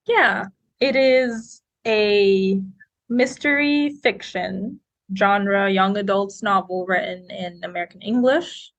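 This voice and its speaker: A woman in her twenties, raised in Egypt, answering interview questions in a talkative, outgoing, expressive way. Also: mid-range voice, slow. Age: 20s. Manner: expressive, quotes people in lively voices. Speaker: guest.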